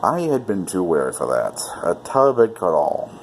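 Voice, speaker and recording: A man's voice: sits low, sing-song, normal speed. A man performing a dramatic gothic horror reading as a mad, cunning murderer who is boastful and frantic with guilt, in a theatrical manner. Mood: smug, cunning. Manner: theatrical, swinging from whispers to manic outbursts. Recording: noisy amateur one-take home recording.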